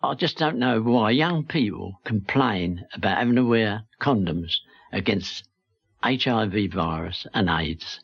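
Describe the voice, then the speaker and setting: An older man giving a wry, grumbling, deadpan monologue with a husky voice. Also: on the low side, unhurried, dull audio.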